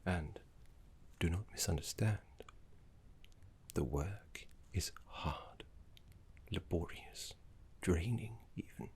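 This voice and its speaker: Guy doing a genteel necromancy professor for asmr roleplay, slow n creaky, breathy, formal, kinda fussy. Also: low-pitched, full character voice. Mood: courteous, intimate. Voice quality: soft, breathy whisper. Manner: genteel, formal, measured, with dramatic pauses.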